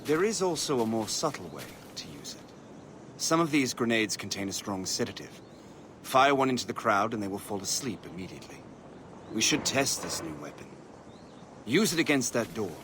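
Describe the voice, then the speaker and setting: An actor playing a grave military mentor in a video game, instructing formally and with measured care. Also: male voice, on the low side, lightly acted.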